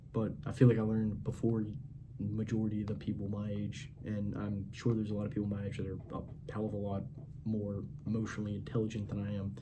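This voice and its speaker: A man's voice: fairly low pitch, noisy background. A man in his twenties giving a blunt, profane, rambling pep talk as a casual monologue. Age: 20s. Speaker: vlogger.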